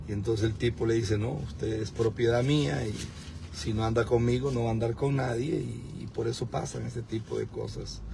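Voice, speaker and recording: A man's voice: mid-range voice, creaky, a little mellow. A man of fifty-four preaching informally on a livestream, with an exhorting, moralizing manner. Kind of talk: informal preaching mixed with chat. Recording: noisy vehicle and outdoor background.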